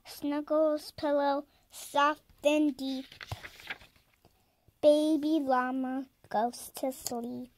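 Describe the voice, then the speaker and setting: A seven-year-old girl reading a children's story aloud in a deliberate, sing-song, emphatic way. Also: high-pitched, flat delivery, a little mellow, slight background noise. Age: child, seven years old. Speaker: storyteller reading a picture book.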